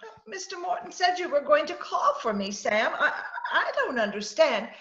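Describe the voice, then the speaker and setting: Very high, fluttery old lady. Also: big pitch swings, normal speed, bright, forceful tone, muffled recording, full character voice. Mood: hurt, bewildered. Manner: plaintive, fluttery, polite. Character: sweet, bewildered, fretful old lady. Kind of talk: 1940s radio drama acting. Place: echoey room at home, video call. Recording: roomy, echoey video call.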